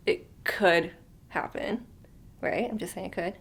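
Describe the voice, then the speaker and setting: A woman's voice: lowish voice, a little slow, vocal fry, breathy edge. A woman in her thirties chatting unscripted to camera as a vlogger, rambling and thinking aloud with lots of fillers, sometimes trailing off into a near whisper. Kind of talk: unscripted casual chat to camera.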